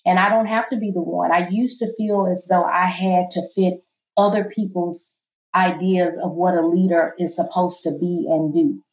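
Soft-voiced woman in an echoey room. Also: steady tone, moderate pace. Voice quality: soft, low voice. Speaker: college president, guest. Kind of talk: interview conversation.

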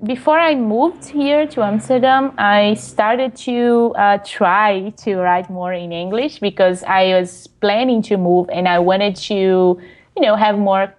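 A Brazilian woman speaks English as a second language, with a Brazilian Portuguese accent. She answers questions in a relaxed, halting way, full of fillers and restarts. Her voice sits at an ordinary middle pitch. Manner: hesitant, many fillers, laughs often. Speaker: guest author. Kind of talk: casual interview.

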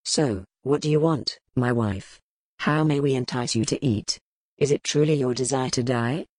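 Stern male duke, curt and commanding, deep, dry close read, put on by a woman narrator. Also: normal speed, darkish tone, no room sound. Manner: curt, authoritative.

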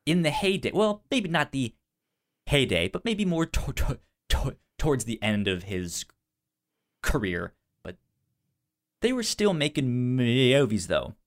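A man co-hosting a casual movie podcast, speaking in a halting way with stammered, repeated syllables. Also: medium pitch, fairly bright tone. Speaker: podcast co-host.

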